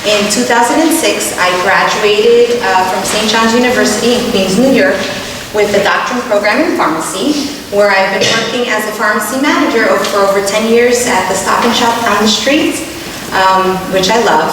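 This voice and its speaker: A woman's voice: medium pitch, normal speed. Woman, first language Portuguese, passionate and emphatic, echoing hall, noisy. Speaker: school board candidate. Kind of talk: candidate speech. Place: large hall at a debate. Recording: echoey hall from far off, noisy.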